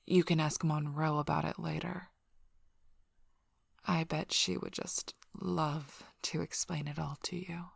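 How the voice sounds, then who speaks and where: Woman acting husky, gravelly, curt alpha werewolf woman. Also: sits low, fairly even intonation, no room sound, full character voice. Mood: curt, suspicious. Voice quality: breathy, husky, often whispered. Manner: blunt, dry, impatient, unhurried. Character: curt, sardonic, guarded female alpha werewolf pack leader. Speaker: ASMR role-play performer. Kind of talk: ASMR role-play acting.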